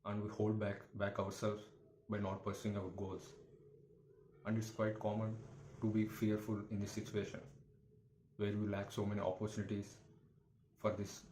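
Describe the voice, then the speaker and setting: A man giving a motivational talk to camera as a YouTuber, in halting, repetitive, earnest phrasing. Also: on the low side, a little mellow. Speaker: YouTuber, motivational content creator. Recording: echoey room with faint background noise.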